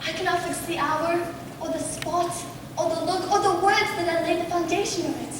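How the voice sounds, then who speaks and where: A woman's voice: very high-pitched, fairly even intonation, fairly quick. A woman on stage playing a spirited, earnest young bride-to-be in a theatrical manner. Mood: tender, earnest. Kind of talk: amateur stage play acting. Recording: echoey hall, distant mic, noisy.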